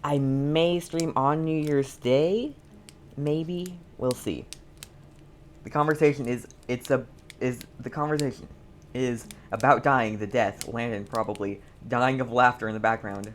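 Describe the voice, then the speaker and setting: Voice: medium pitch, steady tone, measured pace, a little hiss or hum. A game streamer chatting casually on a live stream in a rambling, easily amused way. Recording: faint game music behind the voice. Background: video game music.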